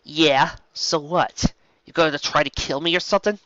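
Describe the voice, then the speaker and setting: A man's voice: fairly high pitch, moderate pace, sharp tone, dry recording, highs cut off, voice pushed up. A man voicing a brash, defiant young female pony guard with a blunt, indignant manner in a fantasy story. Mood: defiant, impatient.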